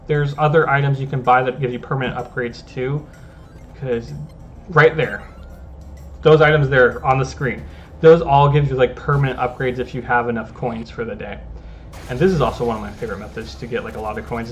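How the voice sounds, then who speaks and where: An easygoing man chats his way through a gameplay recording, circling back and restarting his thoughts as he goes. His voice sits at an ordinary middle pitch, and soft game music plays faintly underneath him. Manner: rambling, self-interrupting, easygoing. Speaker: gaming channel host. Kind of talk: casual gameplay commentary.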